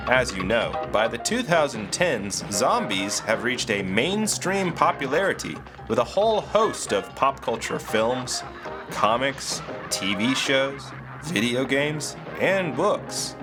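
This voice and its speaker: Fatherly ghost host, acted by a man, scripted explainer, swooping pitch, music underneath. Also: sing-song, slow. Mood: explanatory. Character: fatherly ghost storyteller explaining horror history. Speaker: host and narrator. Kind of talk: scripted educational narration.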